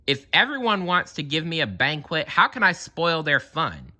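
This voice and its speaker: A man's voice: fairly high pitch, big pitch swings, moderate pace, sharp tone, pitched above the performer's own voice, full character voice. A man voicing an elderly female unicorn from a storybook, wistful and fretful, with sighs and exclamations.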